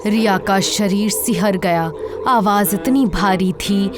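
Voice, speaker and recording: A woman's voice: mid-range voice, slow, over music. A woman narrator reading a scripted horror story.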